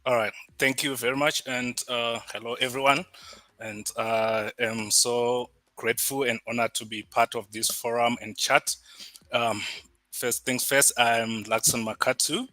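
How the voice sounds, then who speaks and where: A man's voice: medium pitch, fairly even intonation, measured pace, pushed and bright, dry recording. A man from Zimbabwe introducing himself at an online event over a video call, conversational with small restarts. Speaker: guest speaker.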